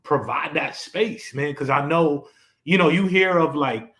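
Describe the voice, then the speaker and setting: A man's voice: a little high, unhurried, fairly bright tone. Young male streamer host, loose rambling delivery, echoey room sound. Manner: laid-back and rambling, with many fillers and restarts. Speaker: podcast host. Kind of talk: casual live-stream chat.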